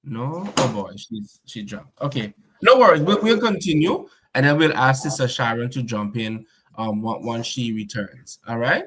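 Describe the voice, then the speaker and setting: A man's voice: mid-range voice, expressive, normal speed, a bit bright, husky. A man hosting an online panel, upbeat and courteous, keeping things moving when a guest's connection drops. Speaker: host. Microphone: video call.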